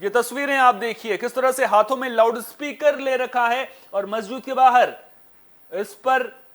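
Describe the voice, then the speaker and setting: A man's voice: very high-pitched, fairly bright tone. A man anchoring a news bulletin, speaking in an emphatic, repetitive way with rhetorical flourishes. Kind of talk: live headline news bulletin.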